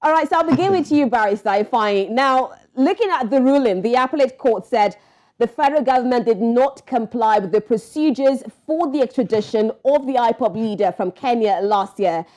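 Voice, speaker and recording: A woman's voice: on the high side, animated, brisk, darkish tone. A woman with a Nigerian accent presenting news and interviewing guests in a formal broadcast style. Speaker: news anchor and interview host.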